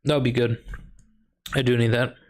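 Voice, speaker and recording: A man's voice: medium pitch, level tone, normal speed, a little mellow. Easygoing male streamer chatting with his viewers. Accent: Chicago. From United States. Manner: thinks aloud, reads and answers chat questions. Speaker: streamer and high school math teacher. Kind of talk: casual live-stream chat while gaming.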